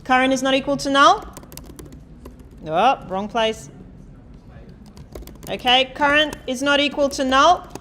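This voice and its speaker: A woman giving a live coding lecture, talking loudly and quizzing the class as she types. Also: sits high, unhurried, pauses often, a little hiss or hum. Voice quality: loud voice. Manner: chatty, asks the class questions, thinks aloud while typing. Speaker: university programming lecturer. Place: lecture hall.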